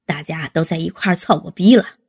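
A woman voicing a jovial, coaxing man in his fifties, an eldest brother and father, in a deep, husky, gravelly voice. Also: brisk. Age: 50s. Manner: jovial, urging, chuckling.

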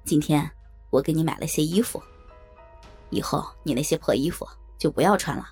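An audiobook gentleman of means , acted by a woman. The voice sits low and husky, with a mild, refined manner that still gives orders. Faint music plays behind the lines. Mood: gently commanding. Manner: mild, unhurried, authoritative. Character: wealthy, refined, gently commanding male master of the house. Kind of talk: audiobook character dialogue.

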